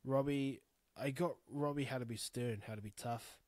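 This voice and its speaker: A man's voice: mid-range voice, normal speed, a little mellow, dry recording. A man in his thirties with a New Zealand accent, hosting a podcast alone, talking bluntly and frankly, swearing freely as he rambles. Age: 30s. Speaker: podcast host. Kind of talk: candid solo podcast monologue.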